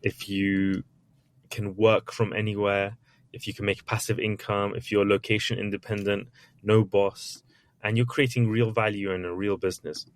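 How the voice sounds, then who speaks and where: A man's voice: on the low side, little pitch movement, moderate pace. A man, an author and entrepreneur, answering interview questions in a candid, chatty way with frequent restarts. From Iraq, raised in England. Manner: candid, chatty, with many restarts and little acted quotes.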